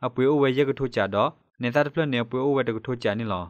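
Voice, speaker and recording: A man's voice: mid-range voice, fairly even intonation, rapid-fire, dull audio, over loud music. A man presenting scripted news as a radio newsreader.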